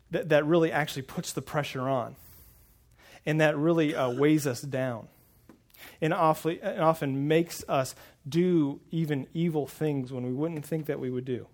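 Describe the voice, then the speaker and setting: A man preaching a sermon on a lapel mic to a congregation. He is earnest and often repeats words as he starts a thought. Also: a little high. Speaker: pastor. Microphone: wireless lapel mic pack.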